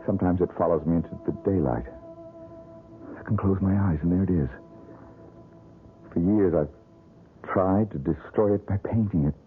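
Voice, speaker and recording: An actor playing a poor, bitter painter haunted by one recurring nightmare, talking in a brooding, self-mocking way in a radio drama. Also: male voice, low voice, moderate pace, pauses often, close to an everyday voice. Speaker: struggling painter. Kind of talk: 1970s radio drama acting. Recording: tinny old radio broadcast, faint music.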